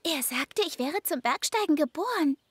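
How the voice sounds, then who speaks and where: A woman's voice: high-pitched, animated, normal speed, no room sound. A woman voicing a keen, adventurous little girl in a children's cartoon. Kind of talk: animated children's cartoon dubbing.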